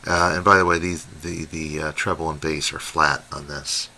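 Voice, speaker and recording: A man hosting an unscripted hobby review, talking in a halting way as he thinks aloud while demonstrating. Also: extremely low pitch, flat delivery, pushed and bright. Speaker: host, radio hobbyist reviewer. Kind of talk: unscripted product demonstration commentary. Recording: faint radio noise behind voice.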